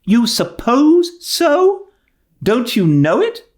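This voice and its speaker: Man acting out older spinster woman, stern, brisk, scolding, raised pitch, swooping intonation, classic novel audiobook. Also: wildly swooping pitch, a little mellow, full character voice. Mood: wrathful.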